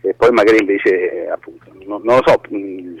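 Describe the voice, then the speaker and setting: A man's voice: low-pitched, gravelly, breathy edge, no echo, poor audio. A man speaking as an interview guest in an informal, hesitant way, repeating words as he searches for the next. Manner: hesitant, halting, repeats words, casually profane.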